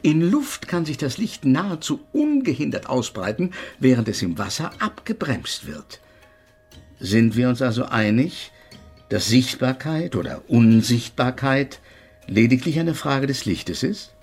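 Husky, haughty physics professor. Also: male voice, medium pitch, sing-song, measured pace, darkish tone, soft background music, exaggerated voice. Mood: lecturing, precise. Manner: pedantic, precise, lecturing. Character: invisible male physics professor, haughty, precise, exasperated eccentric. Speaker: actor in a radio play. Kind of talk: German radio drama acting.

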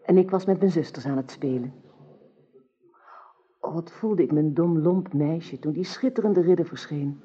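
An actress in a radio drama playing an affectionate, wistful queen, soft and breathy, tender and admiring. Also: low voice, normal speed, pauses often, lo-fi sound. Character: affectionate, wistful young queen in love with a knight.

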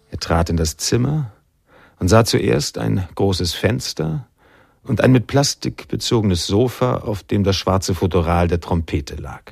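A man narrating a literary radio drama in a measured, sombre manner, with a gravelly, husky voice. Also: on the low side, darkish tone, dry recording.

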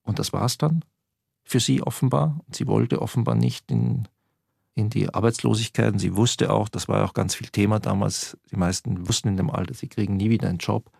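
Interview voice: reflective man with a rough, low, gravelly voice. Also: animated, a little fast, darkish tone, no echo. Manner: reflective, searching for words. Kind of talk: reminiscing interview. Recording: dry close studio recording.

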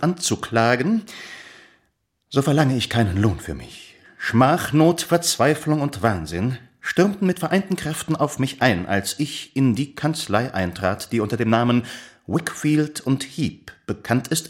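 An actor playing a pompous, righteously indignant gentleman clerk, declaiming floridly in a theatrical radio play. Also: medium pitch, big pitch swings, unhurried. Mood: pompous indignation.

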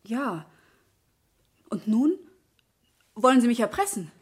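A woman's voice: a little high, sing-song, slow pace, slightly rough, exaggerated voice. An actress playing a defiant, suspicious young lady in a German radio crime drama.

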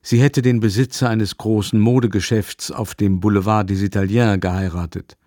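An actor as the urbane narrator of a radio play from an old novel, measured, literary and wry. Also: male voice, lowish voice, fairly even intonation, mellow, natural voice. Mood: matter-of-fact. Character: urbane storyteller narrating a 19th-century novel. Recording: music playing under the voice.

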